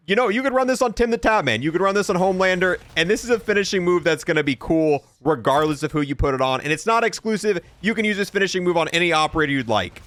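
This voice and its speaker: A man's voice: high-pitched, a bit bright. A young man giving casual, informal gameplay showcase commentary as a video host, in a matter-of-fact way.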